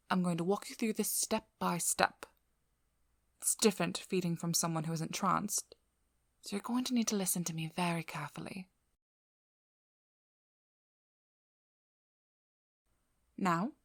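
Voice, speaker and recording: Woman doing a tough wry vampire hunter lady for asmr roleplay, gravelly n fried at the edges, super close and dry, slips into whispers. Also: lowish voice, no echo. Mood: commanding, teasing. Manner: measured, firm, breathy, often dropping to a whisper. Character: stern, wry, protective female vampire hunter. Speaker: ASMR role-play performer. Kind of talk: intimate ASMR role-play acting. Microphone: close microphone.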